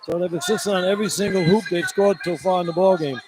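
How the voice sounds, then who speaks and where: A man's voice: high voice, fairly even intonation, fast talker. A man giving informal, colloquial color commentary on a live basketball radio broadcast from an arena. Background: clear arena noise.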